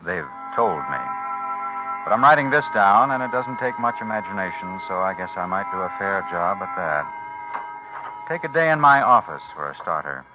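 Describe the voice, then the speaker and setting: A harried, evasive office worker in a 1950s radio drama. His deep voice is clipped and weary, on a muffled old recording with loud music behind it. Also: expressive, moderate pace. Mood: bitter, brooding. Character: brooding, unimaginative male publishing-office reader, harried and evasive. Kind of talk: 1950s radio drama acting, first-person narration and dialogue.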